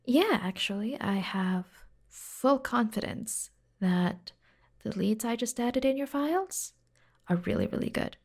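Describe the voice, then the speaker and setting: A devoted, playful secretary acted by a woman fusses over the boss in an ASMR roleplay. The voice is soft and breathy, unhurried and intimate, often slipping into a whisper. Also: fairly high pitch, lively intonation. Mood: helpful, soft. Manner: slow, gentle, playful, intimate. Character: caring, teasing, devoted young female secretary fussing over her boss.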